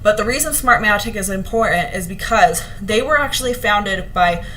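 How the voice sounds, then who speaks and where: A young woman with a slightly hoarse voice, chatting earnestly to her viewers on a vlog, with plenty of ums and restarts. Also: medium pitch, animated, moderate pace, pushed and bright, a little hiss or hum, poor audio. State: a little hoarse. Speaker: vlogger. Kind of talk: casual talk to camera to live viewers.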